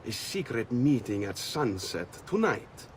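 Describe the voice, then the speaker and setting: An actor playing a sly, self-assured master thief with an Italian accent, teasing and theatrical in a game cutscene. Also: male voice, medium pitch, big pitch swings, measured pace. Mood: brisk, teasing. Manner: teasing, theatrical, chuckling knowingly. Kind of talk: video game cutscene acting. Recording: faint background noise and ambience.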